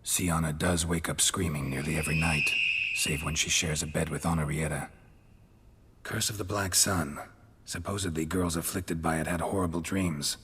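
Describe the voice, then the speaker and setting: An actor playing a gruff, stoic monster-hunting mercenary in a fantasy game, deadpan and clipped, with a deep gravelly voice. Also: male voice, normal speed, a little hiss or hum. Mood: measured reading. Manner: terse, clipped, deadpan delivery. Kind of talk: video game cutscene acting.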